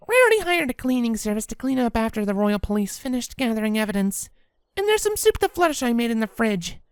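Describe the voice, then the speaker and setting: A woman's voice: a little high, big pitch swings, fast talker, clean tone, dry recording. A woman voicing a friendly, orderly female pony reading out a letter to a friend in a chatty, helpful way.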